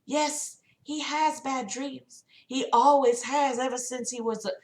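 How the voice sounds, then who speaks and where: A woman's voice: sits high, fairly even intonation, unhurried, darkish tone, voice pushed up. A woman voicing a protective, worried sister from a story, pleading and confiding. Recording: echoey room.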